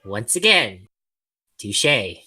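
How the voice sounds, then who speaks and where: Man voicing sulky young male student, hesitant grumbler, self-deprecating, slow delivery, animated swoops, audio drama. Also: fairly low pitch, breathy edge, exaggerated voice. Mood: sullen.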